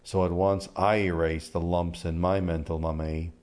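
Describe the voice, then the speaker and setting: An older Cuban-born man who grew up in Miami, a poet, speaking in a thoughtful, deliberate way. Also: low voice, level tone, darkish tone. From Cuba, came to the US as a child and grew up in Miami. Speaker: poet and art critic. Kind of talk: reflective interview talk and poetry reading.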